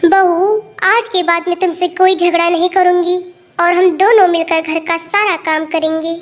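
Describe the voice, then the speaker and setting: A woman plays an older mother-in-law from a cartoon family story. She is bossy and emphatic, quick to nag. Her voice is very high and pushed forward loudly. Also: fairly even intonation. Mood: wistful, affectionate. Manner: scolding, sarcastic, emphatic. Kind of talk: Hindi cartoon moral-story dialogue.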